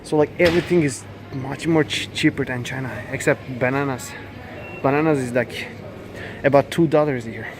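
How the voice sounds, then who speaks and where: A man's voice: medium pitch, noisy background. Young Turkish man vlogging in a supermarket. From Turkey. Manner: halting, many fillers and self-corrections. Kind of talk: casual walk-through vlog. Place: large supermarket indoors. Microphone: handheld phone camera. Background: store ambience.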